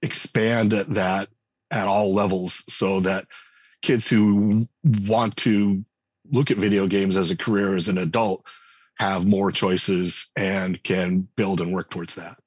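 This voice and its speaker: Guest: deep-voiced, slightly husky man in his 40s; chatty and meandering, dry close mic. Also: flat delivery, slow pace, dry recording. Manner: rambling, many restarts and fillers. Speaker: game writer and designer guest. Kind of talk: conversational podcast interview.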